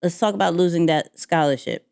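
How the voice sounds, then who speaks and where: This is a woman hosting a podcast, and she talks in a casual, chatty way. She has a lower voice and often repeats a phrase before she moves on. The recording is dry and close. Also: brisk, gravelly, clear, resonant tone, dry recording. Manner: lively, chatty, repeats herself. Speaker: podcast host. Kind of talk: casual interview.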